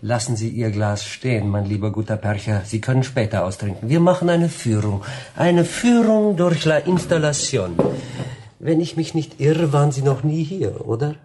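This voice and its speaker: An actor playing a smug young general-dictator in a German radio drama, gushing with oily familiarity and rambling on with jovial menace. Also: male voice, mid-range voice, lively intonation, normal speed, dark tone, a little hiss or hum, natural voice. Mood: breezy host. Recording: roomy, echoing studio scene.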